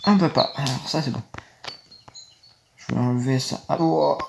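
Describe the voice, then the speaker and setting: A man's voice: medium pitch, moderate pace, gravelly. Young guy, rambling let's-play talk into a phone, light noise behind. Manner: rambling, easily annoyed, talks to viewers. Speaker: gaming video creator. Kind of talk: casual gameplay commentary.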